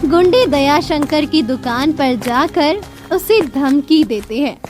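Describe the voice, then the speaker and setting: A woman reading scripted narration for a children's moral tale in a measured, deliberate storytelling manner. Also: high voice, expressive, music in the background.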